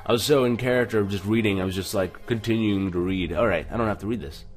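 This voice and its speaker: A man with a low voice plays through a game as host. He reads the narration aloud and slips into casual, joking commentary, with music playing behind him. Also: quick pace. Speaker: let's play host.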